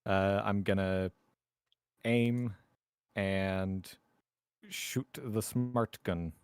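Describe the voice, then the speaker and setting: A man's voice: sits low, steady tone, drawn-out words. A man talking casually as a player at a tabletop roleplaying game.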